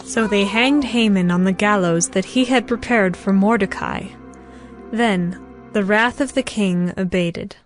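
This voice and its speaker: A woman giving a formal, measured, scripted narration of a dramatized scripture story. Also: mid-range voice. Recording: music behind the voice.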